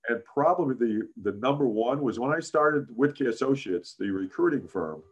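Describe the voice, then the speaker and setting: A man in his sixties with a deep voice, a guest in a casual interview, telling career stories with many "frankly"s and "uh"s. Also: moderate pace, slightly rough, lo-fi sound. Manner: frequent "frankly" and "uh", animated anecdotes. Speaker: interview guest, business founder.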